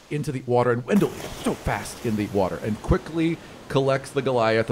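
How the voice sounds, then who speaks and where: A man's voice: mid-range voice, slight background noise. A man acting as game master, improvising fantasy narration in a colloquial, storytelling way.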